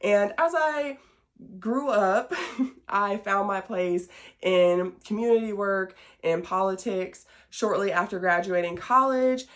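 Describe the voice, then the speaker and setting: A woman's voice: medium pitch, slow pace. A woman in her late twenties with an American accent, hosting a podcast and chatting casually to the audience in a folksy, self-deprecating way. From small-town Southern Illinois, United States. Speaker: podcast host. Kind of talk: casual chat to camera, introducing a show.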